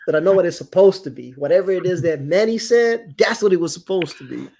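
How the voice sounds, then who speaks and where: A man's voice: high-pitched, fast, vocal fry, a little mellow. A man with a Puerto Rican accent hosting a casual chat, easygoing and reading chat comments aloud. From Puerto Rico. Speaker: podcast host.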